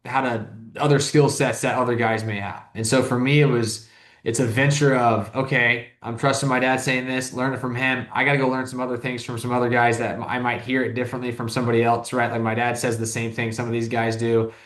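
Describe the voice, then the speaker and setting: A man in his thirties, a business owner speaking as a guest, talks quickly and loosely. He rambles and circles back, with lots of "like" and restarted sentences. The room gives his voice a noticeable echo. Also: mid-range voice, flat delivery, fairly bright tone. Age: 30s. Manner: rambling, heavy use of "like", frequent restarts. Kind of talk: casual interview talk.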